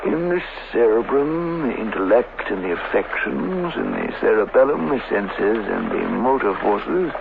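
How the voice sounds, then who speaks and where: An actor in an old radio drama playing a flustered, placating district chief who blusters and hesitates, on a hissy old broadcast. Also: male voice, on the low side, lively intonation, measured pace, creaky, hardly any pauses, phone-quality sound. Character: flustered male colony district chief, placating and evasive official.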